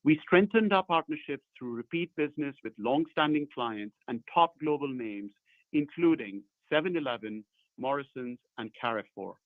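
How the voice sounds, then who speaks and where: A man's voice comes through a narrow, muffled phone conference line with no room sound. He is a company executive reading formal prepared remarks, measured and broken into careful phrases, at a middling pitch. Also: expressive, no echo. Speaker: company executive on an earnings call. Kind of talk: formal scripted corporate presentation.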